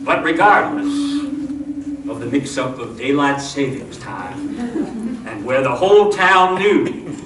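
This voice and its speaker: A man's voice: medium pitch, sing-song, slow pace. Man playing dry comic cowboy, echoey and distant. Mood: comic musing. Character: comic cowboy lawman narrating his showdown, dryly boastful. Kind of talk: old-time radio comedy acting, storytelling narration. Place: live stage radio show in a room. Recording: echoey stage, distant mic, clear noise.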